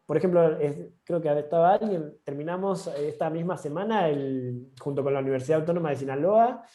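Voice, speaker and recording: A man's voice: a little high, fairly quick, mellow, dull audio. A man with an Argentine accent giving an online training session, talking informally with frequent restarts. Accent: Argentine Spanish. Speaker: course instructor.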